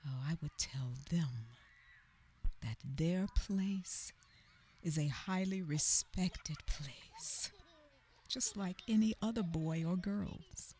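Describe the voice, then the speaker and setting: An actress in a radio theater play, voicing a grown woman who recalls a hard childhood with quiet resolve. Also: on the low side, slow, slight background noise. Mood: resolute.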